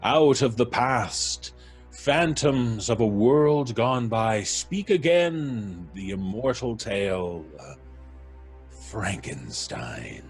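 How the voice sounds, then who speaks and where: A man's voice: medium pitch, expressive, extremely slow, faint music behind. A man hosting a live audio drama show, giving a theatrical introduction.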